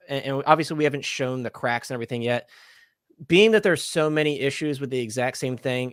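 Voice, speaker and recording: A man hosting a casual collector podcast, talking in an earnest, hedging way with plenty of fillers. His voice sits at a middle pitch and is recorded close and dry, with no room sound around it. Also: no echo. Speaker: podcast host.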